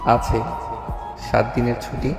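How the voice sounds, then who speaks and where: Man acting a flat, curt young husband. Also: medium pitch, slow, creaky. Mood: calm, firm. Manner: flat, firm, terse. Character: curt, stubborn young husband, cool and protective. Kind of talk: audio story drama. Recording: echoey reverberant hall, music underneath.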